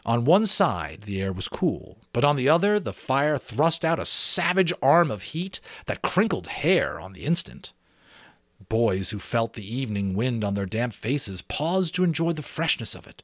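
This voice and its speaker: A middle-aged man gives a dramatic storybook narration. His pitch is ordinary but moves expressively, and the recording is dry and close. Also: measured pace, no echo. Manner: theatrical, expressive. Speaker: amateur audiobook narrator.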